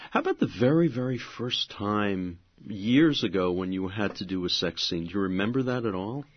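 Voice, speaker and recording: A man interviews a guest, asking calm, measured questions in a lowish, husky voice. The recording is dry and somewhat dull. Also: no echo.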